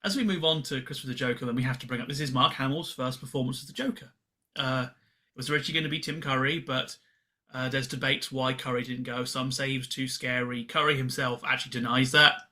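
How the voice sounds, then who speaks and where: A man's voice: mid-range voice, fairly even intonation, quick pace, sharp tone. A man co-hosting a podcast, giving casual explanatory commentary and speaking directly to listeners. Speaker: podcast co-host.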